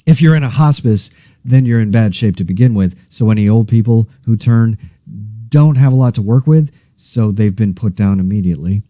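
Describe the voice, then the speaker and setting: A man playing a weary, anxious podcast host in a zombie outbreak, speaking casually with dry, wry, halting asides. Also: on the low side, mellow, no room sound, close to an everyday voice. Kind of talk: casual monologue, scripted fiction podcast.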